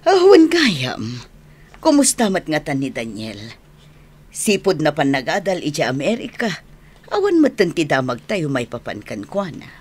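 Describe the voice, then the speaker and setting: An affectionate middle-aged mother in a radio drama. Her husky voice is low and swings dramatically up and down. She has an Ilocano Filipino accent and probes gently. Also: huge pitch swings, measured pace, heavily acted. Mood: curious, probing.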